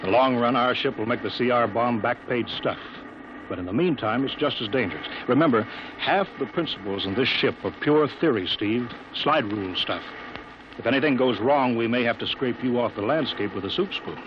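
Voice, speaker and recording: An actor playing a serious, cautious American rocket project chief in a 1950s radio drama. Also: male voice, mid-range voice, brisk, close to an everyday voice. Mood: firm, insistent. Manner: serious, insistent, businesslike. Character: earnest, worried male rocket project chief, firm and cautious. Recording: muffled, noisy vintage radio recording.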